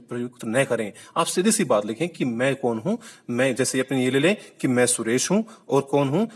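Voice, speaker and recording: A man giving a video lesson, explaining step by step, repeating key points and asking the viewer questions. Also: medium pitch. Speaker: Hindi teacher. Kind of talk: classroom-style video lesson.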